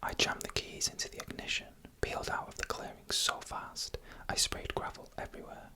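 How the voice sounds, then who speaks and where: A narrator telling stories in a soft, unhurried ASMR whisper, close to the microphone. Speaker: narrator of scary UFO encounter stories. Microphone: close microphone for headphone listening.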